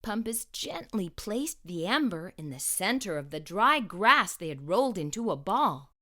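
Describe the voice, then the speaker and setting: Female narrator for a kids' picture book: bright voice with very lively, expressive intonation. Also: medium pitch, a little slow. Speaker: children's story reader. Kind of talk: expressive read-aloud storybook narration.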